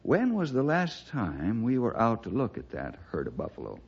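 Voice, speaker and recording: Calm, inquisitive ranger, American, husky, lively intonation, old-time radio drama. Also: male voice, mid-range voice, normal speed, full character voice. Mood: thoughtful. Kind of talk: 1960s radio drama acting. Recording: dull vintage radio recording.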